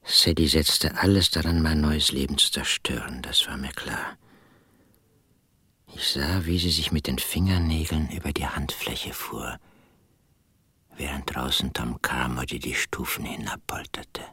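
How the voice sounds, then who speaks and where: A brooding narrator in a radio play, with an extremely low, husky voice. He speaks slowly and intimately, often dropping toward a whisper, recorded very dry and close. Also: bright, forceful tone, dry recording, full character voice. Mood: bitter, uneasy. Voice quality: husky, breathy, half-whispered. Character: brooding first-person male narrator, uneasy rural husband. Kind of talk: hushed radio drama narration.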